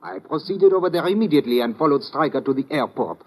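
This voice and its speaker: An actor playing an earnest, formal French investigator in an old-time radio drama, speaking precisely with a French accent. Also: male voice, on the high side, animated, quick pace, rough recording. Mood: indignant, earnest. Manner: formal, precise, uncontracted speech. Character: formal, earnest French police colleague, male, easily indignant. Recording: vintage radio recording, faint noise.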